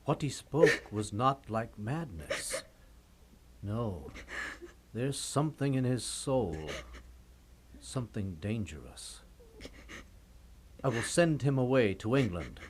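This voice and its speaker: Suspicious stage king: slow, rough-edged voice, grave, ominous, Shakespearean delivery. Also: medium pitch, animated. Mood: wary, ominous. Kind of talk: classical Shakespearean stage acting.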